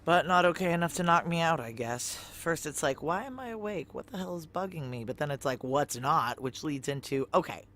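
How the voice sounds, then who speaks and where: Weary, blunt noir heroine. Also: female voice, low-pitched, animated, brisk, few pauses, a bit bright, slight background noise. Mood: wry, weary narrating. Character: guilt-worn, blunt female security operative on the run. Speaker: narrator and lead character. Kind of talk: noir audio drama acting, first-person narration.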